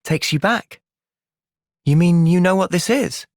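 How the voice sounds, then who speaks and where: A man with an English accent voicing an earnest, thoughtful young soldier hero in a fantasy video game, speaking in a measured, reflective way. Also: sits high, no room sound, clean studio sound. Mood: calm, thoughtful. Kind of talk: video game cutscene acting.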